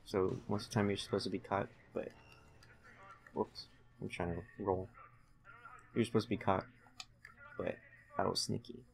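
A young man giving casual, halting, low-key commentary while concentrating on playing a video game. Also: level tone, creaky. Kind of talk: casual let's-play commentary.

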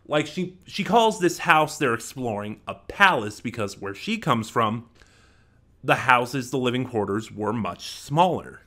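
A man in his twenties with a bright, forceful voice at a middling pitch talks through a review without a script. He halts to think aloud and often corrects himself. He speaks into a desk microphone in a small room at home. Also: lively intonation, measured pace. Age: 20s. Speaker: audio drama reviewer. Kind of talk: unscripted review to camera. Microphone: desk microphone close in front.

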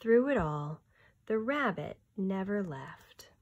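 Slow, expressive woman reading aloud, slightly breathy. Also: medium pitch, highs cut off. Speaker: teacher reading a picture book aloud. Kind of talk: expressive children's read-aloud.

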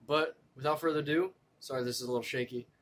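A man's voice: mid-range voice, fairly even intonation, fast talker, a bit bright. A young man, a spray paint artist, chatting upbeat and directly to viewers in a casual vlog intro, filmed on a phone held at arm's length indoors. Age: young adult. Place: indoor room. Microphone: phone camera at arm's length.

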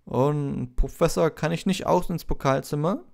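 Guy doing a flustered earnest schoolboy from a storybook, higher voice, kinda protesting. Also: normal speed, vocal fry. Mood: pleading.

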